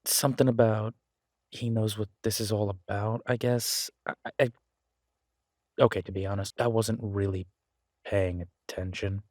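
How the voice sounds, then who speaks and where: A man's voice: on the low side, fairly even intonation, moderate pace, darkish tone, dry recording, studio quality, lightly acted. A man playing an easygoing, affectionate werewolf biker in an intimate audio drama, talking casually and hesitantly to a partner. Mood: sheepish, affectionate. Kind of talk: intimate roleplay audio drama, one-sided conversation.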